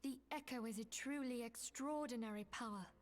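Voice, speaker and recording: A composed woman leader of a secret fantasy order, played by a game actress, with a slightly high voice. She speaks formally and solemnly, in measured, stately phrases suited to grave video game dialogue. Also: close to an everyday voice. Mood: solemn, reverent.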